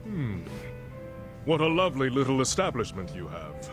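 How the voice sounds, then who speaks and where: Man acting suave pompous gentleman, mid-pitched swooping voice, formal, theatrical, game cutscene, light music. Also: big pitch swings, moderate pace, lightly acted. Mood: smugly polite.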